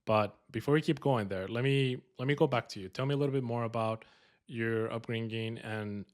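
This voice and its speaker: A man with a Colombian Spanish accent hosts a podcast in casual conversation. He jokes easily and fills his sentences with hesitations. His voice sits at a middle pitch. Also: brisk. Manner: upbeat, joking, many fillers. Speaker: podcast host.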